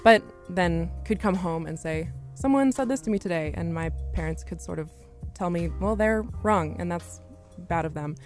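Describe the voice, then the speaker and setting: Lowish-voiced young woman telling her story. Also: normal speed, few pauses, soft background music. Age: young adult. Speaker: student podcast guest. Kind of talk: personal storytelling in conversation.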